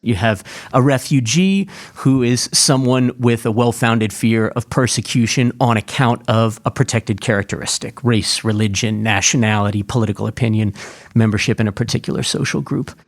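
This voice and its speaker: A man's voice: mid-range voice, moderate pace. A male legal expert giving a precise interview answer that lists definitions point by point.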